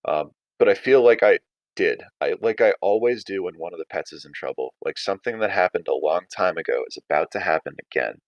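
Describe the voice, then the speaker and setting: A man's voice: low voice, fairly quick, no room sound, highs cut off. A man teaching a writing lesson in an informal, conversational way, thinking aloud as he explains. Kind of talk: casual writing lesson and story read-aloud.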